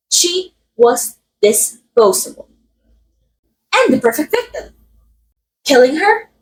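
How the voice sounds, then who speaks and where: A woman's voice: sits high, fairly bright tone, slight background noise, exaggerated voice, poor audio. A woman playing a vain, murderous method actress in an audio roleplay, coy, theatrical and condescending, speaking straight to the listener. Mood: gloating, contemptuous. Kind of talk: ASMR roleplay acting, addressing the listener.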